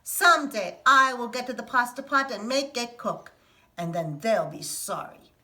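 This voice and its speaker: A woman doing a loud, eager young Italian man. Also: a little high, lively intonation. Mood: sulky. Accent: put-on Italian. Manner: loud, drawn-out exclamations.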